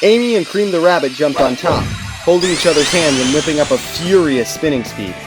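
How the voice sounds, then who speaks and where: A man's voice: fairly high pitch, lively intonation, a little fast. A man reading scripted story narration as an audiobook narrator, in a dramatic storytelling manner. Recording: music behind the narration.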